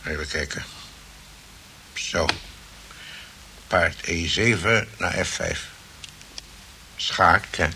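An actor in a radio play voicing a boastful, peevish chess player with a gravelly voice and a formal, blustering way of repeating himself. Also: male voice, sits low, drawn-out words, long pauses, exaggerated voice. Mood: smug, needling. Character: peevish, boastful male chess player, needling his rival. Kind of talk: radio play acting in Dutch. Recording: noisy old radio play recording.

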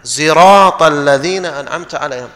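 A man on a panel, reciting verses and citing readings in a slow, measured way. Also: fairly high pitch, a bit bright. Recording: distant voice in a reverberant hall.

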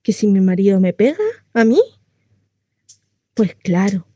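A woman's voice: medium pitch, a little slow, warm tone, no room sound, lightly acted. A woman acting a chatty, naive, mistreated housewife in a comic stage monologue, confessional, rambling and often interrupting herself. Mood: bitter, wry. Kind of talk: theatrical comic monologue addressed to a listener.

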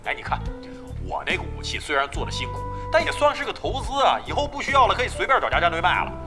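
An actor playing a brash, crafty veteran gamer who grumbles and swears in a Chinese radio drama. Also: male voice, sits high, sing-song, fast, over music. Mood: scornful, persuading. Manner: coarse, swearing, blustery.

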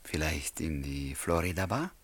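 An actor playing a gentle, secretly infatuated confidant in a German radio play, speaking in a measured, intimate way. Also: male voice, very deep voice, expressive, no room sound, full character voice. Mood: shy, eager.

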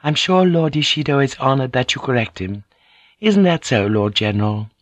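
A man's voice: mid-range voice, lively intonation, normal speed, no room sound, voice pushed up, lightly acted. Noble lady Ochiba, teasing and silky, as a male narrator voices her, dry close sound.

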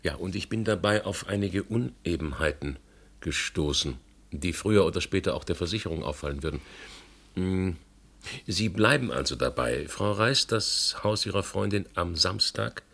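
An actor playing a probing, politely ironic and sceptical investigator in a German radio play, questioning formally and deliberately with a gravelly, husky voice. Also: male voice, low-pitched, animated, bright, forceful tone, heavily acted.

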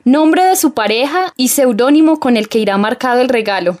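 A woman's voice: a little high, expressive, brisk, a little mellow, close to an everyday voice. A woman playing an eager, fretting young girlfriend with a Colombian accent in a radio soap. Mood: excited.

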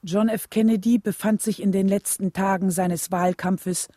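Eine Schauspielerin spielt in einem Hörspiel eine nachdenkliche Mutter, die ernst und gemessen aus ihrem Leben erzählt. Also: female voice, mid-range voice, fairly even intonation, warm tone, dry recording, studio quality, lightly acted. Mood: serious, reflective. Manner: measured, serious. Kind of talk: scripted radio drama narration.

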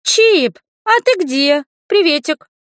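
Woman voicing a chirpy, high-pitched young girl. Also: expressive, takes it slowly, fairly bright tone, dry recording, clean studio sound, full character voice. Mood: cheerful greeting. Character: young girl at home, chirpy, eager, slightly sheepish. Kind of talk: audiobook character voice.

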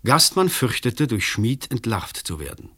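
A man's voice: mid-range voice, sing-song, unhurried, fairly bright tone, husky, exaggerated voice. An actor playing a weary, disillusioned male examining magistrate in a German radio play, speaking formally and rhetorically. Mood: sober, resigned.

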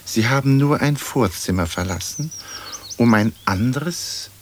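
An actor playing a pompous, wry old aristocratic ghost in a radio play, speaking in stately, formal phrases. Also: male voice, mid-range voice, lively intonation, unhurried, lightly acted. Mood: philosophical. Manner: stately, long-winded, formal diction. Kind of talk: German radio play acting. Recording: old radio recording with faint noise.

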